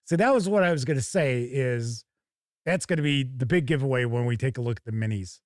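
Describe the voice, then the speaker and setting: A man's voice: medium pitch, brisk, dry recording, clean studio sound. A man hosting a tabletop gaming show, chatting casually and thinking aloud as he gives live first-look commentary.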